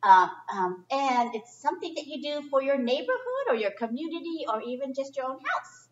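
A middle-aged woman reads a picture book to children in a high, very lively voice. She is expressive and chatty, dropping in informal asides with simple phrasing. The sound is distant and echoey, picked up by a camera mic across the room. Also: moderate pace, dull audio. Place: indoor library children's area. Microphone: distant camera microphone.

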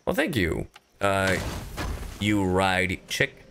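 A man chatting casually on a gaming livestream, animated and profane, swinging between loud outbursts and low asides. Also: drawn-out words, vocal fry, slight background noise. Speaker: streamer and voice actor. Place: indoor livestream setup.

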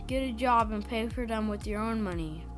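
A woman's voice: a little high, brisk, over music, lightly acted. A woman voicing a blunt, no-nonsense cartoon object character in a fan comic dub, curt and matter-of-fact.

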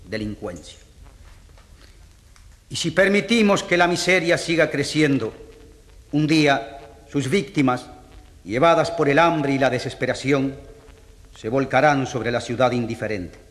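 An actor playing a stern, righteous male jury foreman in a radio drama, declaiming deliberately in a reverberant hall. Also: medium pitch, fairly bright tone, lightly acted. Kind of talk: radio drama courtroom speech.

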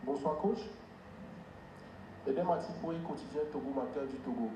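A man's voice: mid-range voice, normal speed. A man, a newspaper journalist, asking a coach a roundabout, hesitant question. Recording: echoey hall with noisy background.

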